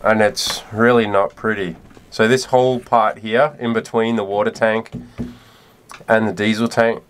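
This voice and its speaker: A man's voice: fairly low pitch, flat delivery, measured pace. A man in his thirties talking casually as he explains the repair work he is doing on a sailboat. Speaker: sailing vlogger doing boat repairs. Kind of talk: casual explaining while working. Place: inside a sailboat and on its deck. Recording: noisy on-location camera audio.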